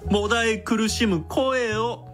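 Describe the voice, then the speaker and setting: A man's voice: high-pitched, steady tone, slow pace, a bit bright, soft background music. A man playing an effeminate, sadistic psychopath villain in a radio drama, with camp, feminine phrasing that savours each word. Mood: gloating, sadistic.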